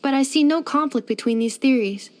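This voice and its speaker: A woman's voice: high-pitched, lightly acted. A woman voicing a polite, earnest female robot in a video game, speaking formally and precisely. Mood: calm, reflective. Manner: formal, precise, measured delivery with clinical machine-like phrasing. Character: polite female robot maid, earnest, gentle and literal-minded. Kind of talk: scripted video game character voice lines.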